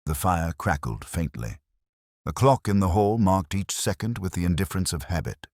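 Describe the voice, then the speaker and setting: A man playing a weary, melancholy police inspector who narrates his case in measured, literary first-person prose. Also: low voice, expressive, darkish tone, dry recording, studio quality. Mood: watchful.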